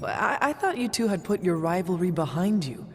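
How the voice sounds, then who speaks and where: A performer voicing a calm, earnest resurrected warrior in a video game, with formal, measured, old-fashioned speech. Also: mid-range voice, a little hiss or hum, lightly acted. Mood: cautioning. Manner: formal, measured, avoids contractions.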